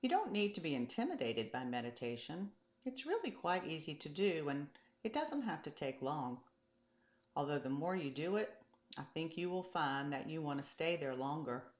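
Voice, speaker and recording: A woman voicing a calm, patient, encouraging meditation teacher in a storybook, gently reassuring as the teaching goes on. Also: low voice, moderate pace, echoey room, dull audio. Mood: reassuring, instructive. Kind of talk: storybook character dialogue.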